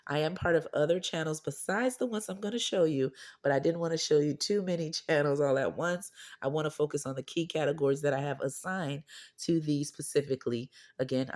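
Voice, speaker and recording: A woman with a cold and a hoarse, deep, husky voice, chatting with viewers as the host of a budgeting channel. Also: a little mellow. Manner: pushes her voice out to sound clearer; chatty, often asks viewers okay or right. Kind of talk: casual chat to camera.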